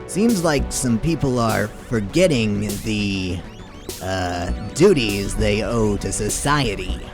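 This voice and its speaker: A man's voice: on the low side, animated, slow. A man voicing a grandiose young ringleader of misfit graduates, with a pompous drawl, in a game readalong. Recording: music behind the voice.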